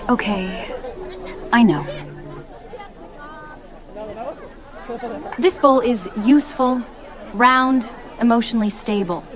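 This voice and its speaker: A woman playing a proud, spoiled young princess in an animated fairy tale, with a haughty, dryly sarcastic delivery. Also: on the high side, a little slow, a faint crowd, natural voice. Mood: stubbornly proud. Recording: music behind the voice.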